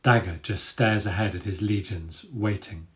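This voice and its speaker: Expressive man telling a children's story. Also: fairly low pitch, normal speed. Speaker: author reading own children's novel aloud. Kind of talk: storytelling, expressive book reading.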